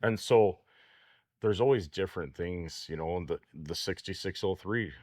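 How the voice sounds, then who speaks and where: A man's voice: sits low, moderate pace, a little mellow, no echo, studio quality. A man co-hosting a farm talk show, chatting folksily with repeated words. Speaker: co-host, auction company staff. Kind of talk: casual radio talk.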